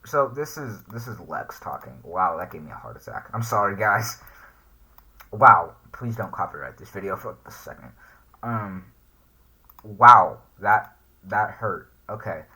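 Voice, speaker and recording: Bright-voiced man reacting casually. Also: on the low side, animated, a little slow, slightly rough, low-quality recording. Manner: hesitant, informal, thinking aloud. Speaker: YouTube reaction host. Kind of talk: casual reaction commentary, reading screen text aloud.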